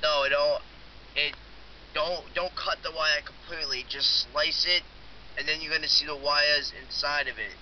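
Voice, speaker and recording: A man's voice: fairly high pitch, steady tone, normal speed, natural voice. A man playing an insistent, pleading security technician on a prank phone call, repeating himself. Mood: instructing. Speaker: prank caller. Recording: dull lo-fi phone recording with hiss.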